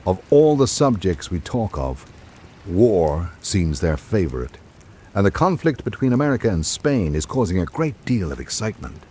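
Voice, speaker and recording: An actor playing a reflective literary traveller, narrating a radio drama in a measured, formal way. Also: male voice, low voice, sing-song, darkish tone, slight background noise. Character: reflective literary traveller recalling island life. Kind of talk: scripted first-person narration.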